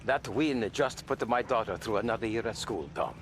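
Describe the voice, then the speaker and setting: An actor playing a stern male mob advisor who speaks in a measured, formal way. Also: medium pitch, animated, slight background noise, close to an everyday voice.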